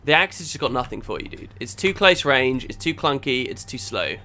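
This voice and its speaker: A man with a bright, forceful voice talks through a game critique in casual speech. His pitch swings widely as he argues his points bluntly and emphatically. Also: very animated, a little fast, faint music behind. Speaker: game build reviewer and critic. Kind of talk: casual critical gaming chat.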